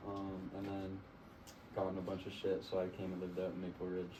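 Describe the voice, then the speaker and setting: A man from Canada introduces himself quietly and offhandedly in a low voice. He is away from the mic, and the room is noisy. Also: completely flat delivery, fairly quick, recorded from a distance. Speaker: workshop participant. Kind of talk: casual introduction.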